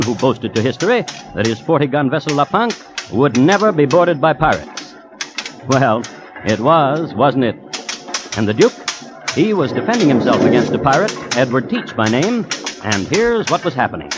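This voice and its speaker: A man playing a droll, erudite connoisseur of crime, narrating deliberately and theatrically as host of an old radio series. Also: a little high. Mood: wry, deliberate, then mock excitement. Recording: muffled vintage radio recording.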